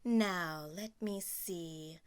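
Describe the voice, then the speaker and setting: A woman voicing a cruel, haughty stepmother who gives slow, mocking commands. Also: fairly low pitch, smooth.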